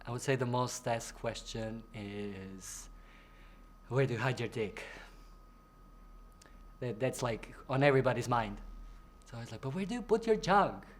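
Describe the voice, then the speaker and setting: A young man from Croatia who performs in drag talks through interview questions in a loose, chatty way. He laughs easily and mimics other people in bright, exaggerated tones when quoting them. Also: lively intonation, unhurried, long pauses, a little hiss or hum. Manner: chatty, playful, acts out quoted people in high voices. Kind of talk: casual interview answers.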